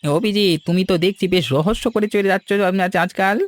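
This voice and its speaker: A man acting as a curious, anxious shop helper in a village cartoon. His voice is high for a man and sounds close and dry. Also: steady tone, fairly quick, no echo, natural voice. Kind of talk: animated story dialogue.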